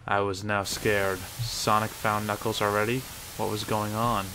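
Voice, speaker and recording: A man's voice: on the low side, flat delivery, a little slow, a crowd in the background, poor audio. A man reading a first-person horror story as a creepypasta narrator. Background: music.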